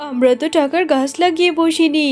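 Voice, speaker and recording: A stingy young cartoon fox sister-in-law, acted by a woman with a high voice. She is sarcastic and dismissive, with music playing underneath. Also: a little fast, close to an everyday voice. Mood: scornful. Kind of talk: dramatised Bangla cartoon story.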